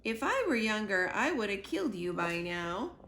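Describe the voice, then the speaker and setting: A woman's voice: medium pitch, very animated, moderate pace, a bit bright, reverberant. A woman voicing a grumpy old female farm cat with a scratchy voice in a children's storybook. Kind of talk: children's storybook character reading.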